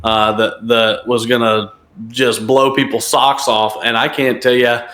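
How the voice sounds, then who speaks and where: A man's voice: mid-range voice, flat delivery, a little slow, a bit bright. Podcast co-host, male, emphatic and opinionated, recorded with a roomy echo. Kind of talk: casual wrestling podcast chat.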